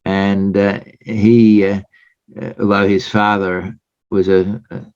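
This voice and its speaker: A man with an Australian accent, a guest in an interview, speaking in a measured, hesitant way with many pauses. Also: on the low side, little pitch movement, a little mellow, clear, resonant tone, no room sound. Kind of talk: casual interview chat. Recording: dry, dull lo-fi sound.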